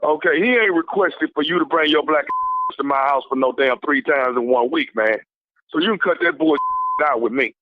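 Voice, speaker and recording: A man's voice: on the high side, brisk, no echo, natural voice. A man playing an angry, suspicious husband in a prank call, confrontational, cursing and stumbling into repeats. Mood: accusing. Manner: confrontational, cursing, stammering restarts. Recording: tinny narrow phone line.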